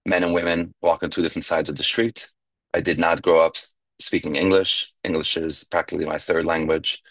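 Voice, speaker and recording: Young guy, yiddish was his first language, super deep voice, halting reflective recovery share, dry sound. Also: level tone, a bit bright, no echo. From New York. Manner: reflective, halting, with fillers and restarts. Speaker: speaker at a recovery meeting.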